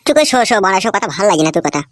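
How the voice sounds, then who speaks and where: A man's voice: sits high, very animated, rapid-fire, fairly bright tone, no room sound, studio quality. A man playing a crude, hot-tempered village husband in a comic cartoon, foul-mouthed, scolding and whiny when wronged. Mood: exasperated. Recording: noisy track with clicks.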